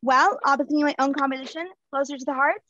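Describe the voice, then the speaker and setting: A woman's voice: high voice, steady tone, fast, bright, forceful tone, a little hiss or hum. A woman in headphones playing a confused, self-absorbed young singer-songwriter with a dramatic, whiny manner, on a webcam by a brick wall. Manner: dramatic, whiny, breaks into wailing. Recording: dull lo-fi webcam sound.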